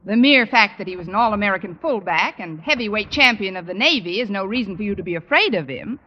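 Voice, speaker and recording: A dry, quick-witted schoolteacher played by an actress tosses off wisecracks with theatrical polish. Her voice moves in lively swoops, on a noisy old radio sitcom recording. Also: medium pitch, highs cut off, natural voice. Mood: pleading. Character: wry, quick-witted adult female schoolteacher. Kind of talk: 1950s radio sitcom acting.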